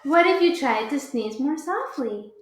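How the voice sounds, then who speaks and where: A woman voicing Piggy, a cheerful pig friend who speaks in a bright, helpful way. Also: sits high, lively intonation, a little slow, slight background noise. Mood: upbeat. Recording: echoey room.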